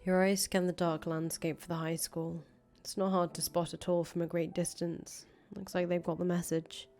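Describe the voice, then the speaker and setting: Low, dark-voiced woman reading a story. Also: flat delivery, normal speed, faint music behind. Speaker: streamer reading a visual novel aloud. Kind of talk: dramatic narration read aloud in a playthrough.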